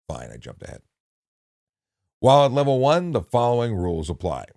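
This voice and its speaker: A man reading instructional material in a measured, deliberate way, with casual off-the-cuff asides. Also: medium pitch, very animated, gravelly, darkish tone, no room sound, clean studio sound. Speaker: narrator reading a handbook with commentary.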